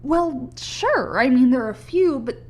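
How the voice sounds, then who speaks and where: A high-pitched young woman in an audio drama. She is an earnest, sceptical science student, unsettled but precise, putting real emphasis on her words. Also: animated, measured pace. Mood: earnest, explaining. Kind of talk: audio play acting.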